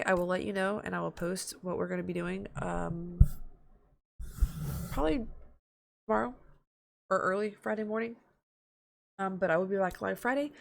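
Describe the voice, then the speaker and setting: An American woman chats through a gaming livestream in a creaky voice. Her pitch is lively and moves around a lot. She talks informally, swears freely and drifts off topic easily. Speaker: live streamer. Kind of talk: casual gaming livestream chat.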